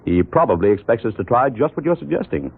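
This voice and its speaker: An actor playing a wry, sceptical American husband and amateur sleuth in a 1940s radio mystery, given to dry wisecracks. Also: male voice, medium pitch, big pitch swings, fast talker, creaky, husky, phone-quality sound, heavily acted. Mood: exasperated, indulgent. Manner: dry wisecracks, indulgent, exasperated. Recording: band-limited 1940s radio recording, faint hiss.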